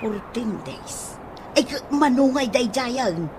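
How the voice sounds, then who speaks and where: A woman's voice: a little high, animated, takes it slowly, background noise. A woman playing a plain-spoken middle-aged mother who frets about money, with an Ilocano accent, in a radio comedy drama. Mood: curious.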